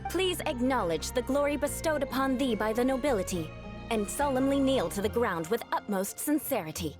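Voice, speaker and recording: A proud young noblewoman knight, voiced by a woman, with a slightly high voice that rises and falls expressively. She speaks in stiff, formal, ornate phrases, always haughty and proper. Game music plays clearly under her. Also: animated, moderate pace, close to an everyday voice. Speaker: game character.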